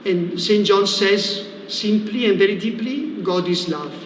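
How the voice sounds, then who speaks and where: High-pitched Italian priest in an echoey room. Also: male voice, unhurried. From Italy. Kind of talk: conversational religious talk in a livestream interview. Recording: echoey reverberant room with faint noise.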